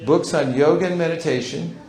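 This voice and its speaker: A man's voice: a little high, a little fast, a crowd in the background. Guy teaching a seminar, playful, acts out little convos, echoey big room. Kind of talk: live seminar talk. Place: large hall. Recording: roomy hall sound.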